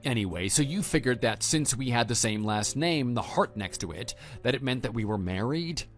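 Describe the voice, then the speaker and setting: Male actor as stern former teacher, audio drama, matter-of-fact, mid-pitched, expressive intonation, background music. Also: moderate pace, lightly acted. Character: stern, principled male former homeroom teacher, calm and reproving. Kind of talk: scripted audio drama dialogue and narration.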